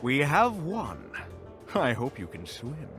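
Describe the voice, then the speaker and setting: A man's voice: medium pitch, huge pitch swings, slow, fairly bright tone, soft background music, heavily acted. Man acting a sneering, wildly swooping evil wizard. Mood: dry sarcasm. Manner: pompous, melodramatic, sardonic. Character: grumpy, theatrical evil male wizard, sneering and sarcastic. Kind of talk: fan audio roleplay acting.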